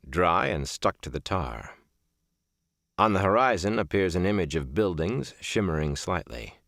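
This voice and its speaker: A man reading a first-person literary book as a narrator, with measured, deliberate phrasing and emphatic stresses. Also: deep voice, a bit bright, no echo, clean studio sound. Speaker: audiobook narrator. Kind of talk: scripted audiobook narration of a reflective first-person memoir novel.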